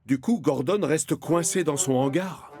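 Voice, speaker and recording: Male cartoon narrator over clear music. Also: fairly high pitch, unhurried, a little mellow. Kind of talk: scripted storytelling narration for children's cartoon.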